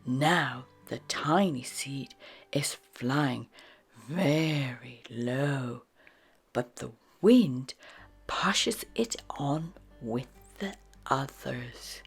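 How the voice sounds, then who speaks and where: Very slow, breathy low-voiced woman storyteller. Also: faint music behind. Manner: slow, deliberate, expressive storytelling. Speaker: storybook narrator. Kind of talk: children's read-aloud narration.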